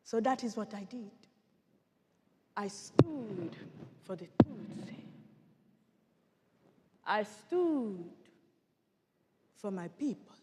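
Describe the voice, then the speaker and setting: A woman performing a dramatic monologue as an earnest Rwandan genocide survivor, telling childhood memories with deliberate theatrical pauses. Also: medium pitch, expressive, mellow, breathy edge, heavily acted. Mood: earnest storytelling. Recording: echoey hall with long reverb.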